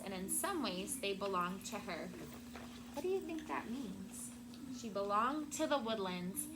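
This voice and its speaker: A woman teacher reading a storybook aloud to children in a classroom, with expressive, deliberate phrasing and prompting questions. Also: medium pitch. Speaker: elementary school teacher. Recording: very noisy classroom recording.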